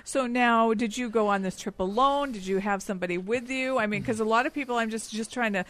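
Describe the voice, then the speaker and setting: A woman's voice: a little high, brisk, fairly bright tone. Woman hosting a talk radio show. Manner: friendly, affirming, prompting the guest.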